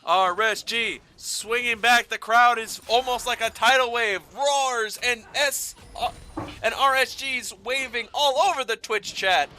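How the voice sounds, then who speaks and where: Male esports caster, playful and dramatic, bright forceful tone, some background noise. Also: extremely high pitch, measured pace. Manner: excitable, playful, dramatic phrasing. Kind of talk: live game commentary with casual banter.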